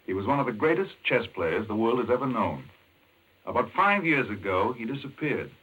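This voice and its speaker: An actor playing an intense, urgent doctor in a 1940s American radio mystery, explaining things emphatically. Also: male voice, mid-range voice, sing-song, normal speed, breathy edge. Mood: urgent, insistent. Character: intense, obsessed male chess-playing doctor explaining a madman's scheme. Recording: muffled 1940s radio recording.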